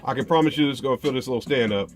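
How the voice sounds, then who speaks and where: An American man talks to his viewers like a relaxed product vlogger, in loose, slangy, colloquial phrasing. His voice sits at an average male pitch. Faint music plays behind him. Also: rapid-fire. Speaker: car audio video host. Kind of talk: casual unboxing and product chat to viewers.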